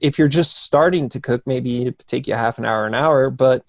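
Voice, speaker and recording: Gravelly-voiced man, easygoing chat. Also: medium pitch, normal speed. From New Jersey. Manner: easygoing, loose with fillers and restarts. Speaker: guest, nutrition coach. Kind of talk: casual conversation.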